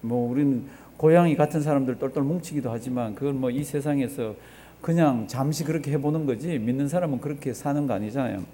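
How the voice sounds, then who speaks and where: A man's voice: mid-range voice, fast talker, dark tone, a little hiss or hum. A male pastor preaching a sermon in a conversational way, putting rhetorical questions to his congregation and repeating phrases for emphasis. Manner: conversational, asks the congregation rhetorical questions and has them respond.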